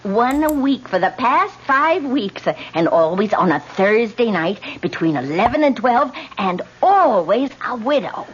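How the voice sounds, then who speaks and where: An actress playing an excitable, morbidly nosy woman in an old-time radio mystery. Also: fairly high pitch, a little slow, few pauses, husky, full character voice. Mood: morbid relish. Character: excitable, morbidly nosy, suggestive female lodger. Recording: muffled noisy old radio broadcast.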